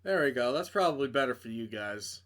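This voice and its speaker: A man's voice: medium pitch, animated, brisk, a bit bright. A man reading a visual novel aloud as a streamer, narrating dramatically and breaking off into casual, joking asides to correct himself.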